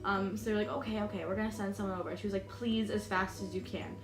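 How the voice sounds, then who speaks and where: A woman's voice: medium pitch, fairly even intonation, fast. Brief: American woman, 20, vlogger, rambling nervous-laugh delivery; echoey room, music bed. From United States. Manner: chatty, many "like"s and "um"s, laughs nervously. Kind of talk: storytime vlog.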